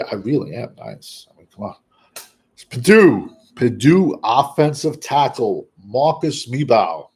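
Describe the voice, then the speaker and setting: A man hosting casual football draft talk, blunt and rambling, with what he calls a monotone voice. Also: medium pitch, slightly rough. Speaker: draft analyst host. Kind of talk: casual draft commentary to camera.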